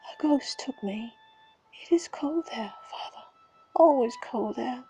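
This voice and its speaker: A woman's voice: on the high side, lively intonation, a little slow, mellow, slight background noise. A woman voicing a grief-stricken young son, pleading in whispers and anguished cries. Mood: haunted.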